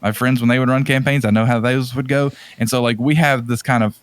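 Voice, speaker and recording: A man's voice: mid-range voice, steady tone, brisk, no echo. A man co-hosting a podcast, chatting casually and giving strong opinions about movies with exasperated emphasis, often repeating words for stress. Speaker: podcast co-host.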